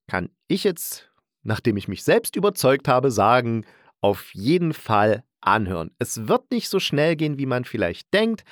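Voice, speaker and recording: Male podcast reviewer, mid-pitched, colloquial and enthusiastic with emphatic repeats, recorded dry. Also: no room sound. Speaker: podcast host reviewing radio plays. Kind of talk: casual spoken review monologue.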